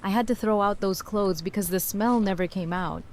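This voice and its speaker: Audio drama role, female actor: tough, salty, teasing woman, medium pitch, plain-spoken, noisy ambience behind. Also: normal speed, lightly acted. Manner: blunt, casual, salty. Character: Rosita, tough, blunt, teasing but loyal woman. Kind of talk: fan audio drama acting.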